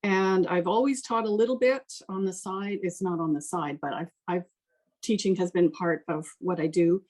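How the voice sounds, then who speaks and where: An older woman with a slightly low-pitched voice. She talks casually and informally about herself as a guest in a conversation. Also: moderate pace, darkish tone. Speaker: interview guest, professional violinist. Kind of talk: casual self-introduction.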